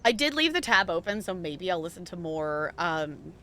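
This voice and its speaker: Bright-voiced chatty woman streamer. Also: on the low side, big pitch swings, moderate pace, slight background noise. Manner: rambling, chatty, frequent "like" and laughs. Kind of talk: casual gaming stream chat.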